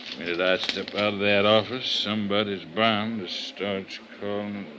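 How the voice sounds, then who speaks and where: Actor as a stubborn, courteous official, noisy recording. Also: male voice, on the low side, level tone, normal speed, lo-fi sound, natural voice. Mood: grave surprise. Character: stubborn, folksy male district attorney's official. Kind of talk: 1950s radio drama acting. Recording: noisy vintage radio recording.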